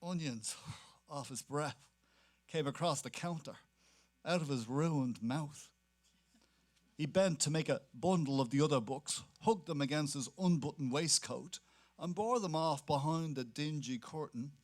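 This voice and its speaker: A man's voice: on the high side, a little slow, darkish tone. A man giving scripted literary narration in a dramatic reading.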